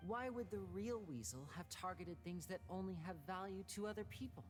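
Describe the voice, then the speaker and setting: A woman's voice: mid-range voice, natural voice. Woman voicing a suave, condescending young male magician. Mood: smug, probing. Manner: polite, condescending, unhurried. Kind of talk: polished video game voice acting. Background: game music.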